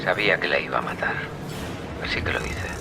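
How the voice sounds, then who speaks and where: An actor with an accent from Spain playing a calm serial killer who recounts his crimes in a measured, sardonic way, in a radio drama. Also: low-pitched, very noisy. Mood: cold, brooding. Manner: measured, coldly matter-of-fact, darkly sardonic. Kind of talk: Spanish radio drama acting.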